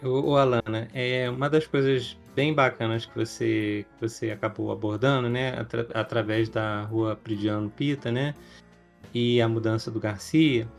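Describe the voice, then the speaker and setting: A man's voice: mid-range voice, fairly even intonation, moderate pace, vocal fry, faint music behind. A man hosting a podcast, introducing his guest in a rambling, hesitant way with many fillers. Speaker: podcast host.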